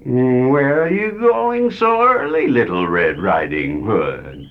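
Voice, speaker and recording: A woman's voice: extremely low pitch, lively intonation, takes it slowly, pitched below the performer's own voice, heavily acted. A woman reading a storybook aloud, voicing a wicked, cunning male wolf who makes his voice falsely sweet. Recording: dull lo-fi storybook recording.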